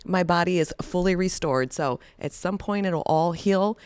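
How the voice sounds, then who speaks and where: Woman, hoarse, lowish voice, blunt and emphatic, dry close sound, casual coaching talk. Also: normal speed, darkish tone, no echo. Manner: blunt and emphatic, swears freely. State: hoarse from a lingering cold. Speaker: life coach.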